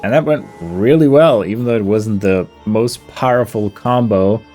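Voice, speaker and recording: Low-voiced man casually commentating a game. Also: moderate pace, mellow, soft background music. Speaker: gamer commentating on own playthrough.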